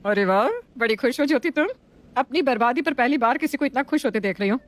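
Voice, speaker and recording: A woman's voice: a little high, animated, quick pace, lightly acted. A woman playing a meddling older neighbourhood auntie in a family story, with a taunting, drawling manner. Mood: mocking. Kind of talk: scripted family story acting.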